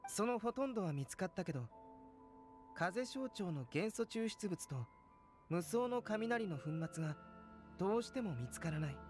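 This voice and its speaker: High-voiced, calm young alchemist. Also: male voice, soft background music. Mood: composed. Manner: measured, polite, analytical. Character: young male alchemist, calm, curious, detached scholar. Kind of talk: anime video game acting.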